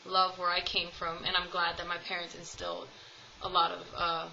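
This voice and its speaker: Young woman rambling to her webcam, bright lowish voice, kinda dull lo-fi sound with faint hiss. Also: completely flat delivery, moderate pace, vocal fry. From United States. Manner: chatty, rambling with many ums and laughs. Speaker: vlogger. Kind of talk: casual tag video chat. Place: home room, at home with cats.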